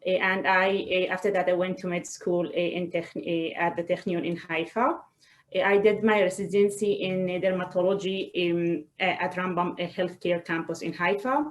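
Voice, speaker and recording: A woman speaks on a webinar about herself. Her voice sits a little low. She talks haltingly, often pausing as she searches for the next phrase. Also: level tone, low-quality recording. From Israel. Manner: hesitant, halting delivery with frequent pauses. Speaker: guest speaker, doctor. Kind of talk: personal talk in a webinar. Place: online video call.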